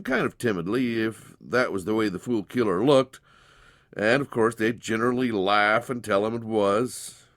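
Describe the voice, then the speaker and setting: A man's voice: medium pitch, dry recording, studio quality. A man narrating a dramatized story in a folksy, conversational way. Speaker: narrator, audiobook reader.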